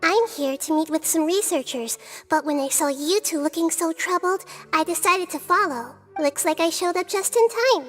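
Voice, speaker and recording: A gentle little girl with an old soul talks in a very high voice. She is calm, knowing and articulate, as in fantasy game dialogue over faint music. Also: moderate pace, few pauses. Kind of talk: video game character acting.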